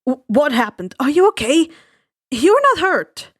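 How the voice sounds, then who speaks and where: A woman voicing a brash, fierce middle-aged mother in a story reading, forceful and bossy. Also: high voice, sing-song, unhurried. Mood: worried. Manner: forceful, bossy, then gushing.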